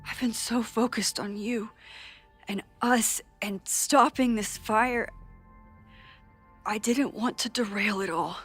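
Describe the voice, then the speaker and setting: A woman playing an earnest, gentle young woman in a video game drama, soft-spoken and hesitant. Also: on the high side, takes it slowly, long pauses. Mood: somber, confiding. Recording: studio voice under background music.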